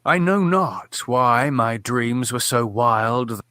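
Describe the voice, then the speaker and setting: Male audiobook narrator, solemn measured horror reading, dry close mic. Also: medium pitch, animated, pushed and bright, no room sound.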